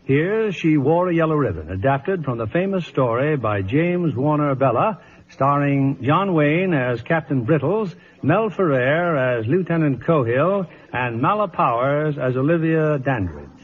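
A man presenting a scripted 1950s radio show in a formal, courtly manner, with a husky voice. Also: on the high side, lively intonation, unhurried. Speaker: radio producer and host. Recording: dull old radio broadcast recording.

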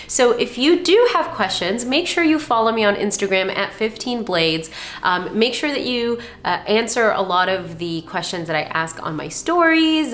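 A young adult woman, chatting casually and informally to viewers as a friendly vlog host. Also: medium pitch. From Dubai and Northern California. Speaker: vlog host, surgery resident. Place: indoor room by a window.

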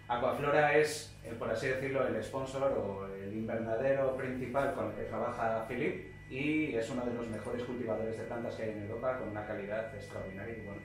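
A middle-aged man with a Castilian Spanish accent, informally introducing a workshop as its host in a small shop. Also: mid-range voice. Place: small shop room with seated audience. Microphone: distant camera microphone. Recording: roomy, distant camera mic, faint noise.

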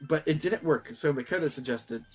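A man's voice: fairly high pitch, a little fast, darkish tone, faint music behind. A man streaming a casual, stumbling read-aloud of fan fiction, breaking off to comment. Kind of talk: casual fanfic reading with commentary.

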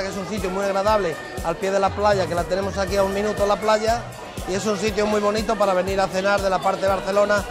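High-voiced middle-aged restaurant manager. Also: male voice. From Zamora, Spain. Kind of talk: guided tour talk. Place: busy restaurant terrace under a tent at night. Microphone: lapel mic. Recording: background music under the voice.

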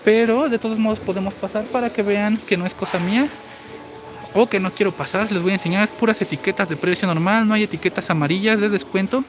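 High-voiced man in his forties. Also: steady tone, fast talker, over music. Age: 40s. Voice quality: high, girlish-sounding voice. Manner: chatty, explains deals while walking. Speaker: shopping deals streamer. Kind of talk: live-stream store walkthrough, reading comments. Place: large indoor supermarket. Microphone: phone held while walking. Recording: noisy store ambience.

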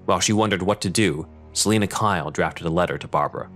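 A man's voice: low voice, fast, fairly bright tone. A man reading scripted dramatic narration as the narrator of an audio drama. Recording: prominent music under narration.

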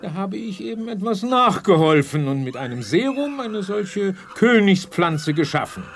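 An actor playing a pompous, gloating megalomaniac scientist villain in a theatrical science-fiction radio play. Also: male voice, high-pitched, very animated, normal speed, a little hiss or hum. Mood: gleefully gloating. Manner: pompous, theatrical, speaks of himself in third person.

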